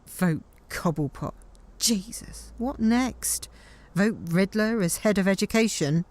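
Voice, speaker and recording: Actress as blunt, slow-talking sardonic woman. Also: medium pitch, darkish tone, natural voice. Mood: scornful. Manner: blunt, sarcastic. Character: Amanda, sardonic, exasperated, no-nonsense woman. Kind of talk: naturalistic fan audio drama acting.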